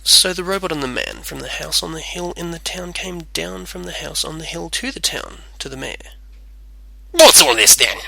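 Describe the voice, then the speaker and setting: A man's voice: a little high, normal speed, gravelly, few pauses, sharp tone, rough recording. A man reading a scripted storybook tale as its narrator.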